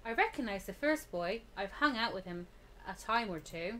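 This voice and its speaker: A woman's voice: mid-range voice, lively intonation, normal speed, a bit bright, slight background noise. A woman hosting a game playthrough, switching between reading aloud and casual chat. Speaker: gaming video host. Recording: game music behind the voice.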